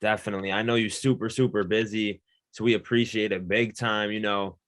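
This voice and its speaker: A man's voice: on the low side, steady tone, brisk, fairly bright tone. A man hosting a producer interview show, talking casually with hype and lots of affirming thanks to his guest. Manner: hype, affirming, grateful host talk. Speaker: interview host.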